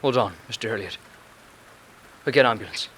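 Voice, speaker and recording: A man's voice: medium pitch, moderate pace, fairly bright tone, natural voice. Man acting anxious cab driver, broken English, faint noise. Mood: shaken, grateful. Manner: simple, broken English, earnest. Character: earnest, anxious immigrant cab driver, loyal, haunted. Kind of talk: radio play acting.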